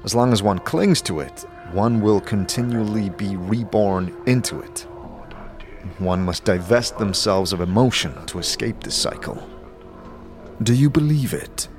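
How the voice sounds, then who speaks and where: A man playing a solemn Dustman elder in a fantasy audio drama, speaking in a measured, formal, philosophical manner. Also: on the low side, music in the background. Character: solemn Dustman elder preaching oblivion and detachment from emotion.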